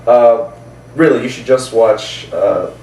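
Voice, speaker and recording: A man's voice: medium pitch, slow pace, darkish tone, a little hiss or hum. A man co-hosting a casual movie chat in an informal, jokey way. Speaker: fill-in co-host. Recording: echoey room, distant mic, faint ambience.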